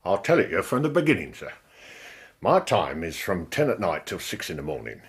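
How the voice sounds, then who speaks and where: A working-class Victorian bobby in a radio drama. His London voice is low and husky, rambling along chattily and colloquially with lively rises and falls. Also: normal speed, heavily acted. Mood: chatty, confiding.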